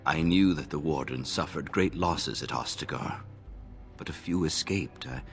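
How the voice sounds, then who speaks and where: An actor playing a courteous, weary elven elder in a video game, speaking with grave, measured gentleness. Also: male voice, a bass voice, vocal fry. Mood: sorrowful, resigned. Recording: music behind the voice.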